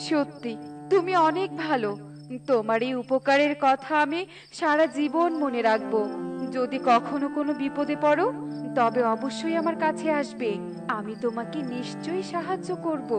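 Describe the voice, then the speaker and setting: High-pitched, emotive water fairy played by a woman. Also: moderate pace, over music, close to an everyday voice. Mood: relieved, grateful. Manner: plaintive, emotive. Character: tearful, grateful female water fairy in pain.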